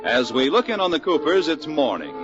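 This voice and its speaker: A man's voice: on the high side, wildly swooping pitch, brisk. A man announcing and narrating a scripted 1940s radio show. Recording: dull 1940s radio recording with music. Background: music.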